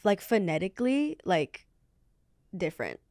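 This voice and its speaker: A woman's voice: medium pitch, sing-song, unhurried, darkish tone, no echo, clean studio sound. A young woman singer answering interview questions in a casual, hesitant way, with many fillers. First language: English. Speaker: guest singer.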